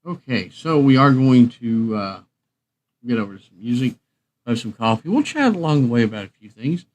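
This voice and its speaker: A man's voice: mid-range voice, very animated, moderate pace, darkish tone. A man hosting a music livestream, chatting casually in a rambling, meandering way.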